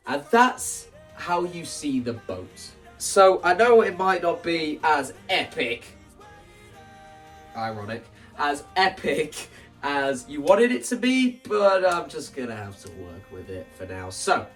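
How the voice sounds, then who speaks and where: Young male creator, lighter-pitched voice, chatty and animated explainer delivery, recorded a little away from the mic. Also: far from the mic. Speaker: video creator presenting a stage play concept. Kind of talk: casual explanatory monologue.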